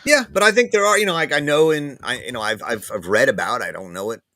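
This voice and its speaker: A man, a guest professor, talking casually in an interview, pausing and repeating words as he thinks aloud. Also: mid-range voice, bright, forceful tone, no echo. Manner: hesitant, many restarts and repeated words.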